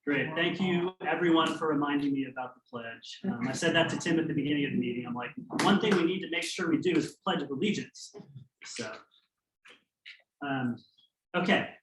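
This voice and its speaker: A man's voice: on the high side, fairly quick, a crowd in the background. A man hosting a club meeting, making friendly, chatty announcements with "um" fillers. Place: church meeting hall. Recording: echoey hall, mic at a distance.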